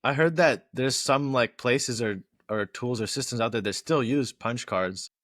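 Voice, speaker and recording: A man's voice: mid-range voice, unhurried, fairly bright tone, no room sound, studio quality. Young man with a slight Dutch accent. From born in Boston, raised in France, the US and Spain. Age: 20s. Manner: friendly, laughs often, asks open questions. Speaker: host. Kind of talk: casual interview chat.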